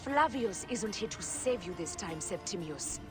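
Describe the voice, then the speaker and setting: A woman voices a grieving warrior bent on revenge, her threats fierce and steady. Her pitch sits in a middle range. Loud game music runs beneath her. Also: a little slow, lightly acted. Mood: vengeful. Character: vengeful, grieving female warrior.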